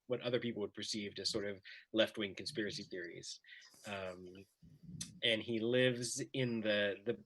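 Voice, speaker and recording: A man's voice: lowish voice, creaky, fairly bright tone, husky, rough recording. A man on a panel describing his work casually, stammering, repeating words and trailing off. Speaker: playwright on a panel. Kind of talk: casual, explanatory discussion.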